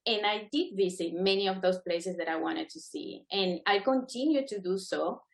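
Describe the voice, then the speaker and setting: A woman's voice: mid-range voice, moderate pace, gravelly. A middle-aged woman from Venezuela, a native Spanish speaker, giving earnest, encouraging remarks as a guest speaker at a webinar. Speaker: guest speaker, adjudicating panel member. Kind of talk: prepared webinar remarks, semi-spontaneous.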